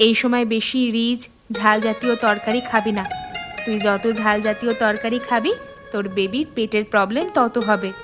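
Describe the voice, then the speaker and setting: Woman as a high-voiced, caring young village woman. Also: moderate pace, over music, natural voice. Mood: friendly concern. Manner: familiar, chatty. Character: young village mothers and women friends, familiar, caring. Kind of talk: cartoon dialogue.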